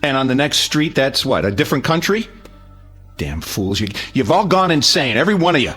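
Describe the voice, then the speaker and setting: A man's voice: mid-range voice, very animated, fairly quick, fairly bright tone, husky, faint music behind. An actor playing an earnest, reproachful man who scolds his panicked neighbors in a radio drama. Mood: bitter reproach.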